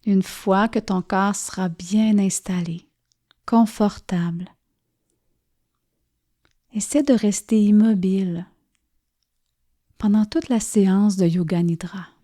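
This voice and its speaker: Slow, mellow woman guiding relaxation. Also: mid-range voice, fairly even intonation. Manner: soft, soothing, gently pausing. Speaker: yoga nidra guide. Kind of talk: guided relaxation meditation narration.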